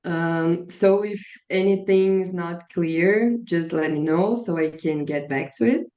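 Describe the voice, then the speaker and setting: Slow, low-voiced Brazilian woman. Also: dark tone. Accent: Brazilian Portuguese. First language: Brazilian Portuguese. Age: 20s. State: slightly strained voice. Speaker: guest, biologist and climate activist. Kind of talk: podcast interview answers. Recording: echoey room, dull lo-fi sound.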